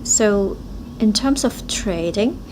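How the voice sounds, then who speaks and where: Woman, live interpreter, unhurried pace, halting phrase-by-phrase delivery. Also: mid-range voice, lively intonation, background noise. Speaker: simultaneous interpreter at a forum.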